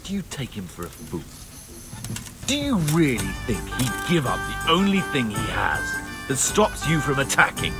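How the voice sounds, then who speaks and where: A man's voice: mid-range voice, wildly swooping pitch, moderate pace. An actor playing a scornful, defiant young bandit held prisoner in a video game, fiercely loyal to his master. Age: young adult. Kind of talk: video game cutscene acting. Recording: loud music under the voice.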